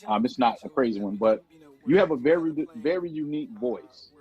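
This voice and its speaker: A man's voice: mid-range voice, expressive, normal speed, husky, dull audio. A man co-hosting a radio interview, chatting casually and rambling his way into questions. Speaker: radio show co-host and interviewer.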